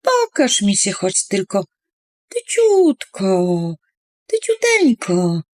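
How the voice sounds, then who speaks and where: A woman's voice: mid-range voice, huge pitch swings, drawn-out words, no room sound, studio quality, exaggerated voice. A woman voicing a tiny, curious, plucky little man with an eager, coaxing manner in a children's tale. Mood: coaxing, calling.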